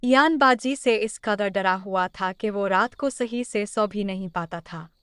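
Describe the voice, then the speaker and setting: A woman's voice: mid-range voice, measured pace, fairly bright tone, smooth, dry recording, clean studio sound. A woman reading scripted narration for a moral story.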